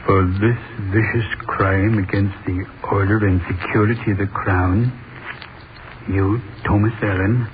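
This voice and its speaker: Brief: male actor as a grave old-radio judge, lowish voice, noisy tinny broadcast. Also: fairly even intonation, natural voice. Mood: solemn. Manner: slow, solemn formal sentencing. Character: stern male judge pronouncing a death sentence.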